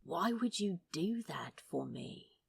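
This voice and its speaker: A woman voicing a gruff, sardonic, wounded male demon in a storybook reading, with a gravelly, husky drawl and plenty of bite. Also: lowish voice, expressive, heavily acted. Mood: wary, doubting. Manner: slow, sardonic, swearing drawl.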